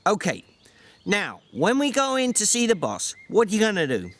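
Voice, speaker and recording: Man acting an irritable, high-pitched little drifter. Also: wildly swooping pitch, moderate pace, full character voice. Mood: bossy, instructing. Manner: sharp, scolding, rustic slang. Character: small, irritable, bossy drifter man, exasperated but protective.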